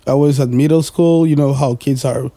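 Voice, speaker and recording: A man's voice: mid-range voice, steady tone, moderate pace, dark tone, dry recording. A man, chatting casually as a podcast guest, rambling with lots of fillers and the odd swear word. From Portugal. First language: Portuguese. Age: 20s. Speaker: guest, music producer.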